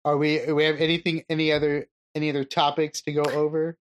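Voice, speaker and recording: A man's voice: fairly high pitch, little pitch movement, quick pace, slight background noise. A young man co-hosting a podcast in casual chat, dry and joking, mostly in short replies. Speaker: podcast co-host.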